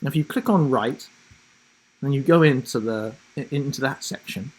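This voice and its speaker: A man chatting and reading a story to children as host of a live broadcast, speaking deliberately in short emphatic phrases. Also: medium pitch, breathy edge, low-quality recording. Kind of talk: friendly instructional chat and storybook reading for children.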